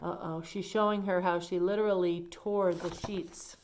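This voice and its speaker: A woman reading a picture book aloud to children, pausing to explain things in simple asides. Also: fairly low pitch, fairly even intonation, a little mellow, slight background noise.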